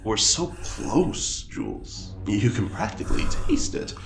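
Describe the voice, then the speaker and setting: An actor playing an oddly calm, eager guide in a spaceship audio drama, with a measured, teasing drawl. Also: medium pitch, gravelly, full character voice. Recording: echoey room, music behind.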